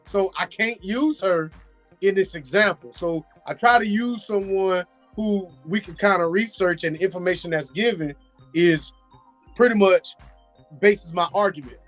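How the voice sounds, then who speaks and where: A man on a talk show arguing in casual chat, blunt and animated. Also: high-pitched, measured pace, faint music behind. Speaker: show regular.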